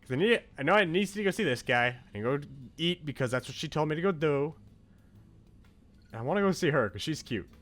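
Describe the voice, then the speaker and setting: A man's voice: on the high side, expressive, fairly quick, a bit bright. A man streaming a game casually, chatting and reading on-screen text aloud. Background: faint game music.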